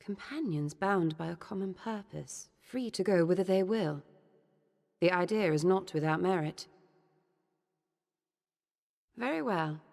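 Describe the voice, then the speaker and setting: An actress playing a cool, scholarly woman in a fantasy game, speaking formal, measured English. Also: lowish voice, animated, creaky, a little mellow. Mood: composed. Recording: long hall reverb.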